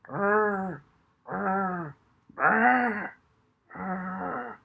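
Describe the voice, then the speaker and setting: A woman voicing slow, rhythmical growls of a small dog in a children's audiobook. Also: medium pitch, vocal fry, pushed and bright, husky, heavily acted. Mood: lulling growl. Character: growling small dog, imitated by a girl to hypnotise.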